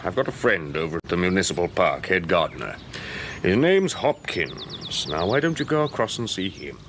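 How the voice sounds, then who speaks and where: An actor in a radio drama playing an avuncular British family friend, breezily reassuring and given to thoughtful hums. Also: male voice, low voice, very animated, normal speed, noisy background. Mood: hearty, reassuring.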